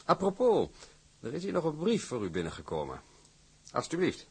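An actor playing a cautious, courteous shipping director in a period drama, formal and sceptical. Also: male voice, a little high, huge pitch swings, moderate pace, creaky, darkish tone, breathy edge, exaggerated voice. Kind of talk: acted period drama dialogue.

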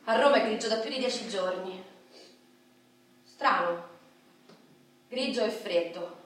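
A woman's voice: medium pitch, unhurried, a bit bright, close to an everyday voice. An actress playing a motherly, melancholy working-class Roman woman in a radio play, speaking colloquially with a Roman accent. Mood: gloomy, resigned. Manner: colloquial, confiding, with tag questions. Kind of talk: radio theatre monologue. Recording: very echoey room, distant from mic.

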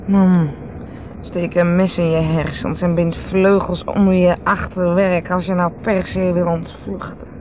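An actress as a sardonic wife needling her brother-in-law, deliberate and halting, with a slightly low pitch. The old radio drama sounds muffled, with clear outdoor noise behind her. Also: little pitch movement, close to an everyday voice. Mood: bitter mockery. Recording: dull noisy old radio recording, outdoor ambience.

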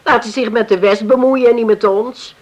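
A woman's voice: on the high side, fairly even intonation, fairly quick, a little mellow, lightly acted. A woman acting in a Dutch radio drama as a sceptical, plain-spoken village wife, on a lo-fi recording. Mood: disapproving.

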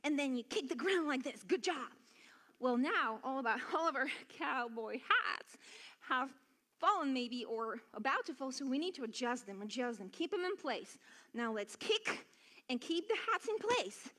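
A woman's voice: high-pitched, animated, moderate pace, bright, forceful tone. A woman from Bulgaria leading a children's church lesson, encouraging and giving simple step-by-step instructions. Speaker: children's worship song and dance leader.

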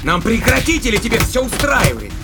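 An actor playing a ruthless, mocking spaceship captain in a science-fiction audio play, taunting and commanding. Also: male voice, very high-pitched, huge pitch swings, brisk, loud music behind the voice, heavily acted. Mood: sadistic taunting.

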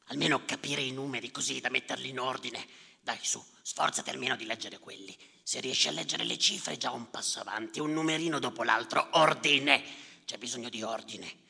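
A man acting a callous, muttering bureaucrat in a theatrical monologue, with a gravelly rasp and a sardonic, contemptuous, repetitive manner. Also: mid-range voice, a little fast, bright, forceful tone, full character voice. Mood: irritated muttering. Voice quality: gravelly, rasping. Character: callous, muttering male bureaucrat tallying drowned migrants. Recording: reverberant hall, live stage.

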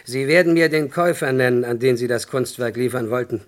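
An actor playing a calculating, menacing blackmailer who bargains insistently and with cool threat in a radio crime play. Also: male voice, medium pitch, a little fast, natural voice. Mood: sly, calculating. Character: calculating, menacing male blackmailer selling stolen art.

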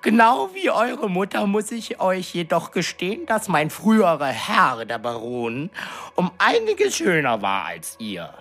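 Radio drama creature role: cheeky, servile little demon, lowish pitch with very animated swoops, self-important courtly phrasing. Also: female voice, unhurried, full character voice. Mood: fawning, nostalgic. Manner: pompous, flowery, servile courtly address. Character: small fat warty green demon servant, fawning, cheeky, self-important.

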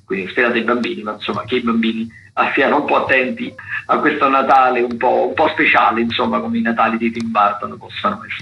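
Guy doing radio culture listings, average pitch, stumbles and repeats words, bit lo-fi. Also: gravelly. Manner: hesitant, repeats words as he goes. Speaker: theatre critic, radio contributor. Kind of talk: conversational radio listings commentary.

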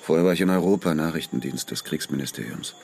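An actor playing a cool, laconic war veteran in his thirties, terse and deadpan, in a radio drama. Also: extremely low pitch, quick pace, slight background noise. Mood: dry, laconic. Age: 30s.

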